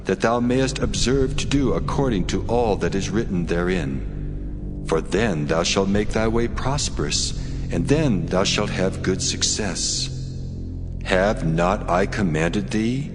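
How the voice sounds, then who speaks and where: God for scripture drama male actor: very low, slow, commanding, cavernous reverb. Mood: solemn, commanding. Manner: slow, solemn, stately. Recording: long cavernous reverb under music.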